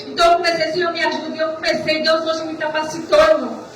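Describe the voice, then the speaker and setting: A woman's voice: extremely high pitch, flat delivery, quick pace. A Brazilian deaconess giving an earnest testimony sermon in church, stammering and repeating words as she exhorts. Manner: earnest, repetitive, stammering delivery. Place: church service indoors, distant microphone. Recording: echoey room, far mic, noisy.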